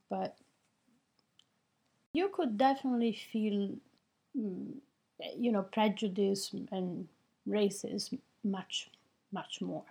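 A woman in her fifties speaks slowly and earnestly, often stopping to search for the right word. Her voice has a soft, husky edge. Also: mid-range voice. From Italy. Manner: thoughtful, searching for words, earnest. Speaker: interviewee, immigrant parent. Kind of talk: reflective personal account.

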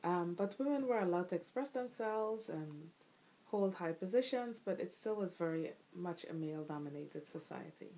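A woman's voice: fairly low pitch, animated, moderate pace, dark tone, rough recording. A middle-aged woman from Guyana, interviewed by her child, answering thoughtfully with many ums and restarts. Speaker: interviewee, the host's mother. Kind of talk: casual recorded interview.

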